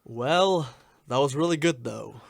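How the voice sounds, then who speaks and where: A man's voice: medium pitch, animated, unhurried, creaky, no echo, clean studio sound, pitched below the performer's own voice. A man voicing an easygoing, greedy chicken in a radio comedy sketch, comically earnest and given to exclaiming.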